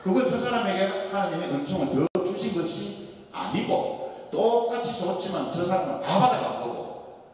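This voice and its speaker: A man's voice: high voice, normal speed. Male priest, Daegu accent, joking sermon storyteller, long church reverb. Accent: Daegu dialect. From Daegu, South Korea. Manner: animated, joking storyteller with rhetorical questions. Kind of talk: Lenten sermon lecture. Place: large church hall. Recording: echoey church hall, mic far off.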